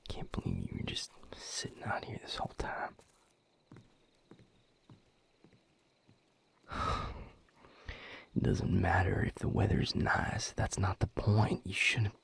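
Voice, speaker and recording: A man playing a weary, grieving vampire lover, tender and gruff, in an intimate audio roleplay with a husky, gravelly murmur. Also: huge pitch swings, a bit bright, whispered, exaggerated voice. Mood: fond exasperation. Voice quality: husky, breathy, gravelly low voice. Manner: slow, halting, murmured, with sighs.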